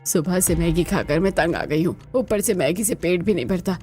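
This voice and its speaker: A woman voicing the women of a cartoon household with an expressive, theatrical delivery. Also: fairly low pitch, normal speed, soft background music, lightly acted. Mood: exasperated. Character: women of a cartoon household, scheming young daughter-in-law and exasperated elders. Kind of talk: dramatised cartoon dialogue.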